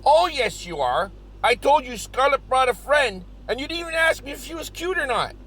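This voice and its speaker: Man acting high-pitched, swooping, exasperated comic guy. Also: normal speed, heavily acted. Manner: expressive, exasperated. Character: excitable, exasperated men: hillbilly and pizzeria owner brother. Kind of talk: scripted comedy audio drama acting.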